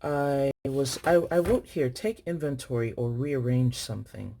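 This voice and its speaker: A woman's voice: a bass voice, a little slow, vocal fry, warm tone, slight background noise. A woman from Nigeria chatting casually to her viewers while filling in her planner, thinking aloud and correcting herself as she writes. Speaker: planner vlogger.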